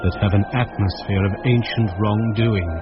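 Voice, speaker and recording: A man plays a thoughtful British schoolmaster telling his tale in a low voice. His delivery is measured and atmospheric, with loud music behind a slightly dull radio recording. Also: steady tone, natural voice. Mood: reflective, ominous. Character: thoughtful mathematics schoolmaster recalling uncanny events. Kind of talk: scripted first-person radio drama narration.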